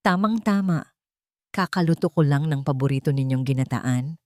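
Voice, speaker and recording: Storybook role: welcoming elderly grandmother read by a woman, cheerful, homely, low register. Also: moderate pace, darkish tone, no echo, studio quality, lightly acted. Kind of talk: audio storybook dialogue.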